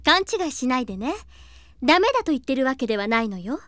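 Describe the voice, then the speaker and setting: A woman's voice: sits high, sing-song, quick pace, a bit bright, no room sound, clean studio sound. A woman playing a haughty, self-assured young lady in an anime audio drama, aloof, ladylike and condescending. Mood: cool, dismissive. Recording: music clearly behind the voice.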